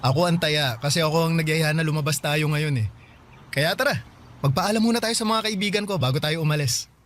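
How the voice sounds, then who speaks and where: An actor playing an eager, considerate young boyfriend, talking casually and attentively in a radio drama. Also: male voice, fairly high pitch, brisk, fairly bright tone, lightly acted. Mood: attentive, reassuring. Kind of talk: Tagalog radio drama dialogue. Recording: faint music bed behind voice.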